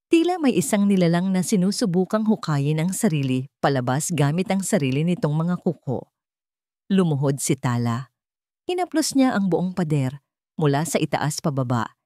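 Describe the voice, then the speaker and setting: A woman narrating a scripted story in a dramatic, suspenseful way, in deliberate short phrases. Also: low-pitched, dark tone, no echo, clean studio sound.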